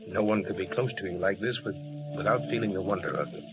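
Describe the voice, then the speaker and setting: An actor playing a smitten American ambulance officer, earnest, halting and intimate, in 1930s radio drama style. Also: male voice, low voice. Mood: ardent. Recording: dull vintage radio recording, music behind.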